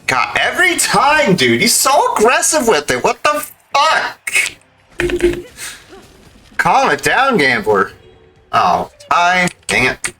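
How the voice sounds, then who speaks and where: A man streams a video game with a bright, lively voice that swings widely in pitch. He comments casually and exclaims at the action, swearing mildly. Faint game music plays behind him. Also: takes it slowly, pauses often. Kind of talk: casual live gameplay commentary.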